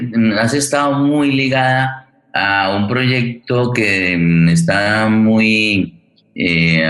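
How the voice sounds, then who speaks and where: A man with a low voice hosts a forum, recorded with a clearly roomy echo. He builds long questions deliberately, halting often to find the next word. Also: fairly bright tone. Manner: deliberate, halting, searching for words. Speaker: forum host, interviewer. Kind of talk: interview moderation, long spoken questions. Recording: roomy, echoing sound.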